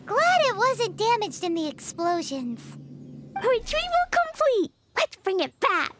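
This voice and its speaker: A woman voicing an excitable, scatterbrained little girl in a video game, with a childlike, eager and innocent manner. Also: very high-pitched, a little slow, background noise, heavily acted. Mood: excited. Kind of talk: video game character acting. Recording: game music behind the voice.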